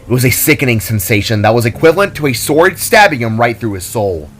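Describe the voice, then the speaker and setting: A man's voice: mid-range voice, lively intonation, quick pace, a little hiss or hum. A man giving a dramatic scripted narration of a fantasy story, as its narrator. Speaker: author and narrator. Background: soft music.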